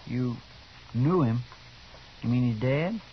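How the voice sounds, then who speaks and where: Folksy Old West sidekick, muffled recording. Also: male voice, mid-range voice, animated, slow pace, a little hiss or hum. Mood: puzzled, deferential. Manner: folksy, respectful, hesitant. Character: folksy, deferential, eager male deputy sidekick in Old West. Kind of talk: 1950s radio western acting. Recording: dull 1950s radio recording.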